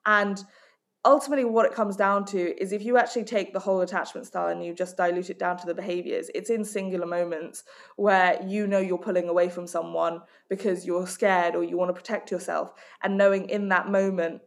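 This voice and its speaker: A woman's voice: lowish voice, fairly even intonation, brisk. A woman from Hong Kong, working as a life coach and author, giving direct and emphatic answers in a podcast interview.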